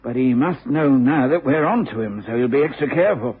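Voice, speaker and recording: An actor playing a weary, matter-of-fact police inspector in a radio drama. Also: male voice, medium pitch, lively intonation, fairly quick, breathy edge. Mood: rueful. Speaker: supporting actor. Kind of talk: British radio drama acting. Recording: tinny old radio recording.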